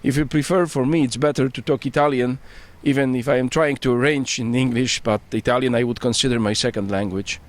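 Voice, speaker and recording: Middle-aged Polish priest, earnest and reflective, mid-pitched, working through his English outdoors. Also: fairly even intonation, a little fast, hardly any pauses. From Poland. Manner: earnest, thoughtful, searching for English words. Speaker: priest and bishop-elect, interviewee. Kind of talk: casual interview. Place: rooftop outdoors.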